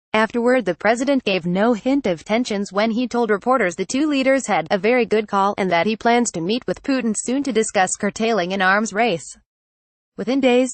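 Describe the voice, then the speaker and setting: A woman narrating a scripted news report in a measured, formal read-aloud delivery. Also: medium pitch, no echo.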